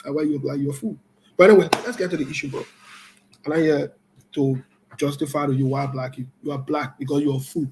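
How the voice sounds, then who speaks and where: A man with a slightly higher voice hosts an online political talk show. He talks in a loose, conversational way, answering viewer comments live. He is blunt and combative, jumping from loud scolding to quiet, low-key remarks, with faint ambient noise in the background. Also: fairly even intonation, quick pace, low-quality recording.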